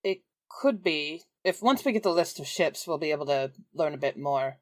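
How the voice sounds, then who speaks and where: Low-voiced woman chatting as a game player. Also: lively intonation, normal speed, no room sound. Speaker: player in a tabletop roleplaying game. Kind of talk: casual chat.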